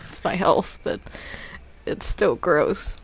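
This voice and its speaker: A woman's voice: fairly low pitch, lively intonation, takes it slowly, slightly rough, poor audio. A woman chatting casually in character during gameplay, exclamatory and prone to complaining. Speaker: gamer in roleplay video.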